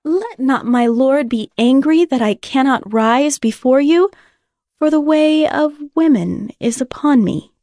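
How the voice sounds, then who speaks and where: Young bible wife, high voice, spirited, dry studio sound. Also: female voice, animated, unhurried, warm tone, dry recording. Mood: deferential. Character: Rachel, spirited resentful young wife and daughter. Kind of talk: dramatized audiobook Bible acting.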